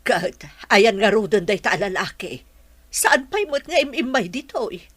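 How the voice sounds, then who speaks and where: An actress playing a disapproving, inquisitive older village mother with a husky voice in a radio drama. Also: mid-range voice, lively intonation, moderate pace, fairly bright tone, no echo. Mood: questioning, indignant.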